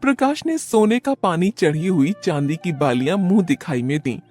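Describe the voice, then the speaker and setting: A woman's voice: on the low side, expressive, normal speed, darkish tone, faint music behind. A woman reading scripted narration for a storybook tale as its narrator.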